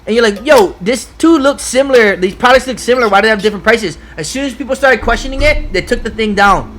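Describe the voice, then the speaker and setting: A man from Hawaii talking in a casual podcast chat, excitable and profane, rambling from one point to the next. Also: extremely high pitch, fast. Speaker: podcast guest.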